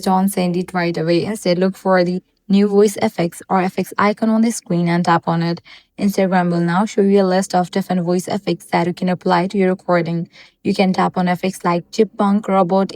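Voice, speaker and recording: A woman's voice: medium pitch, darkish tone, poor audio. Woman narrating a step-by-step app tutorial. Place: voice-over for a screen recording.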